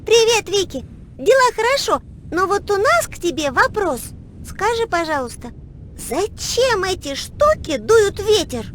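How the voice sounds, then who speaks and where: Very high-pitched curious cartoon little girl. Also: unhurried, a little hiss or hum, full character voice. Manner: expressive, wondering aloud. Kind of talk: children's educational cartoon acting.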